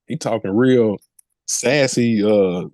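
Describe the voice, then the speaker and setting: A man's voice: fairly low pitch, takes it slowly, darkish tone, dry recording. A middle-aged man co-hosting a podcast, talking casually in slangy, emphatic, opinionated language. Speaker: podcast co-host.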